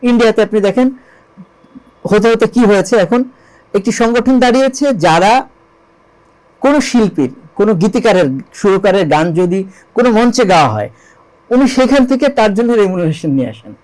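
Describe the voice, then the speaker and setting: Male interview guest, Bangladeshi accent, high register, earnest and emphatic storyteller. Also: animated, moderate pace, pauses often, darkish tone, poor audio. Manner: emphatic, uses rhetorical questions and repetition. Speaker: interview guest, songwriter and composer.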